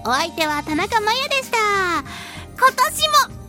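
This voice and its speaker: A woman hosting a solo radio talk show, reading listener mail in a playful, giggly, animated manner. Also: very high voice, unhurried, music in the background. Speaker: radio host, voice actress.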